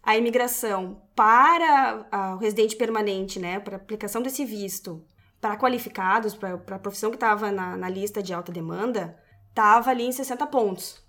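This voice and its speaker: A woman's voice: mid-range voice, moderate pace, a bit bright. A woman from southern Brazil, co-hosting a podcast, welcoming guests and asking them questions. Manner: upbeat, welcoming, poses questions. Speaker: podcast co-host.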